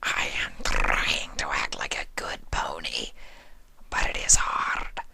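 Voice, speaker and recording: A man voicing a sad male shapeshifting insect creature with a hushed, flat, stiff delivery. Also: a bass voice, slow pace, creaky, pitched below the performer's own voice, exaggerated voice. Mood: subdued, regretful. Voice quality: hushed, sometimes whispery.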